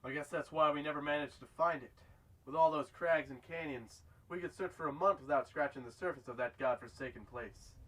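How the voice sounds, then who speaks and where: Guy playing a ruthless outlaw boss, folksy n bossy, kinda high bright voice, sci-fi western. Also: a little fast, rough recording. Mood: cold, commanding. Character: gruff, ruthless male leader of an outlaw gang. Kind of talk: western-flavoured sci-fi drama acting.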